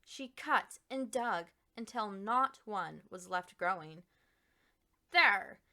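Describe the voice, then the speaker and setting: Bright, animated woman storyteller, unhurried. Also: medium pitch. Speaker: picture book reader. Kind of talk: expressive read-aloud storytelling for children.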